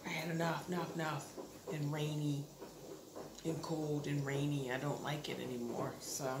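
A woman in her thirties chatting casually to her camera at home, rambling with lots of fillers and restarts. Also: a bass voice. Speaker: vlogger. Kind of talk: casual vlog chat. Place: living room, indoors. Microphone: camera close up. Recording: roomy echo, audible background noise.

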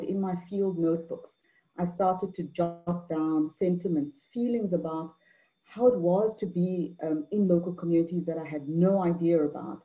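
A middle-aged woman from Mauritius gives an online talk on a video call, measured, with many fillers and repeated words. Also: low-pitched, dark tone. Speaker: anthropologist and poet speaker. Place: indoor room at home. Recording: dull lo-fi video call audio.

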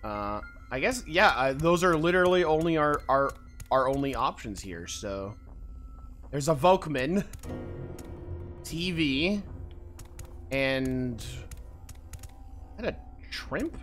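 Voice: medium pitch, takes it slowly, long pauses. A streamer giving casual, playful commentary on a video game, reading its text aloud and muttering along. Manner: animated, mutters to self, playful exclamations. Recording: game music behind the voice. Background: video game music.

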